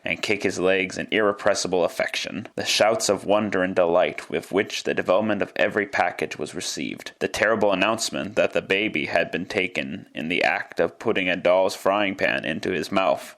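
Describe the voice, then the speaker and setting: A man with a low voice narrates a literary tale in classic radio style. He delivers it as scripted dramatic storytelling. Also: fairly bright tone.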